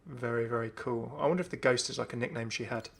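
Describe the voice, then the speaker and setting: Male gaming video host, enthusiastic and casual, medium pitch with noticeable vocal fry. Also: fast talker. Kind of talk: casual commentary on a game update.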